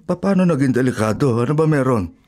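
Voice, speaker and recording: A man playing a sensible older father in a radio drama, reasoning in a measured, plain-spoken way. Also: medium pitch, animated, a little mellow, natural voice.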